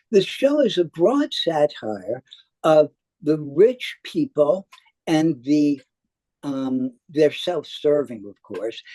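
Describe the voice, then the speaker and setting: Male theater critic, halting delivery, lively intonation, unhurried, dry close sound. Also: a little high, no echo, poor audio. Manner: halting, with frequent hesitations. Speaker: theater reviewer. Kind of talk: semi-scripted spoken review.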